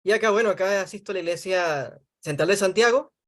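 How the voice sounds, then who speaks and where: A man's voice: high voice, fairly quick. A man from Venezuela with a Venezuelan accent, a church elder chatting and then praying. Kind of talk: casual introduction and spoken prayer.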